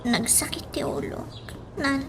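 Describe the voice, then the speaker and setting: A woman's voice: high-pitched, measured pace, whispered. A woman playing an ailing, anxious young woman in a radio drama, with an Ilocano accent. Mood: pained, weak. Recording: clear birdsong and background noise.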